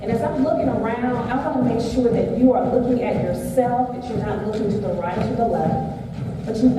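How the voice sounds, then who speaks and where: Soft, halting woman workshop leader; distant, reverberant hall recording. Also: a little high. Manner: gentle, halting with many ums. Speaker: workshop speaker. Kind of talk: motivational workshop instruction. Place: event room at a university. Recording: echoey hall from far off, noisy.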